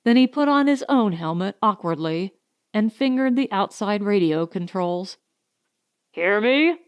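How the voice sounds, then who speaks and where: A woman's voice: medium pitch, expressive, moderate pace, clean tone, dry recording, highs cut off. A woman narrating a scripted science fiction story as an audiobook reader.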